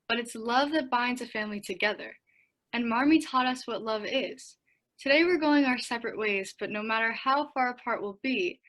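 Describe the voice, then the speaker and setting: An actress playing a gentle, sincere young sister in a radio play. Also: female voice, fairly high pitch, close to an everyday voice.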